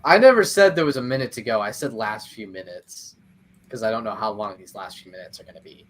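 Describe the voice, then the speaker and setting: A man's voice: on the low side, animated, a little fast, fairly bright tone. A man acting as game master, who narrates a tabletop session and trades jokes with his players in casual banter. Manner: playful, teasing, cuts back to the game.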